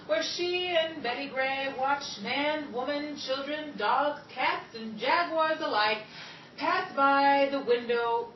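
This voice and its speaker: A woman's voice: high voice, highs cut off. A woman announcer reading scripted narration in the style of vintage 1930s radio. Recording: roomy hall, distant mic, audience noise.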